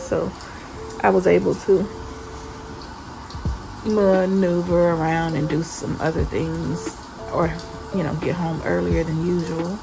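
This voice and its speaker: A woman's voice: fairly low pitch, creaky, poor audio. A woman in her thirties chatting informally in a casual home vlog, recorded indoors on a handheld phone. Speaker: vlogger.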